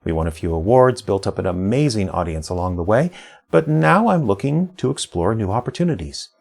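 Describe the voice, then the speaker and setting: A man's voice: on the low side, expressive, a little fast, gravelly, a little mellow. A man giving a scripted introduction as an audio drama writer and producer presenting his work.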